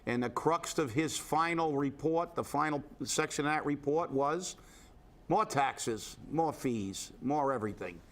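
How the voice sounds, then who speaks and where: A man's voice: fairly high pitch, measured pace, a bit bright. Man, stuffy head cold, indignant, rambling restarts, local commentary host.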